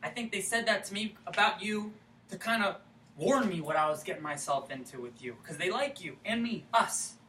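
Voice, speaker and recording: A woman's voice: mid-range voice, animated, normal speed, a bit bright, natural voice. A woman acting a persistent, teasing small-town suitor in a staged play reading, insistent, folksy and casual. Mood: placating, pleading. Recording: roomy, distant microphone, faint noise.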